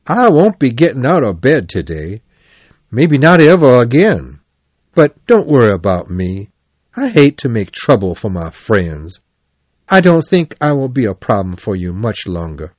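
A man's voice: medium pitch, big pitch swings, measured pace, no room sound. Storybook toad, acted out by a man: male, faint-voiced, martyr-like, drawing out his woes in a close, dry sound. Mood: self-pitying. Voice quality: weak, faint. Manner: plaintive, martyr-like, drawn out. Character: self-pitying, melodramatic male toad feigning sickness. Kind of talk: animal storybook character voice.

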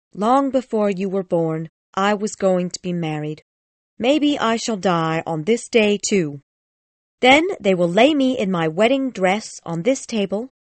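A woman playing a bitter, imperious old bride obsessed with her death, sharp and commanding, in an acted audiobook. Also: medium pitch, no echo, lightly acted. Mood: brooding.

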